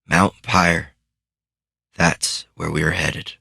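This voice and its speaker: A man voicing a composed girl who speaks slowly and solemnly. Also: extremely low pitch, little pitch movement, vocal fry, bright, forceful tone, breathy edge, no echo, studio quality, heavily acted. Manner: slow, measured, solemn. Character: calm, composed young girl with powers.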